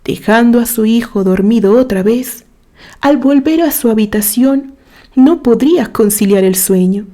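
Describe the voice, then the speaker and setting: Woman, audio story narrator, unhurried pace, warm dark timbre, expressive literary reading, measured pauses. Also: a little high.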